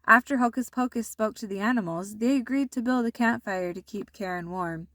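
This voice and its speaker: A woman narrating a children's storybook aloud in an expressive, deliberate storytelling manner. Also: fairly high pitch, no room sound.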